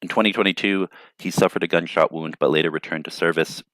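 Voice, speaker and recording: A man's voice: low voice, fairly even intonation, fast talker, fairly bright tone, dry recording. A man hosting a news commentary show, reading reports and adding thoughtful, hesitant asides. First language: not Ukrainian.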